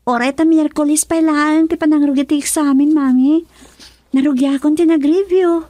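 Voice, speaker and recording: A cheerful young Filipino schoolgirl voiced by a woman in a radio drama. She speaks to her parents eagerly in a high voice, with a dry studio sound. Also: steady tone, moderate pace, mellow, dry recording, natural voice. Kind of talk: Ilocano radio drama acting.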